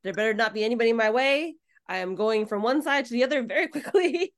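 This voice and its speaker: A woman's voice: a little high, fast. A woman hosting a podcast in casual chat, reacting playfully with hums and short exclamations. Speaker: podcast host.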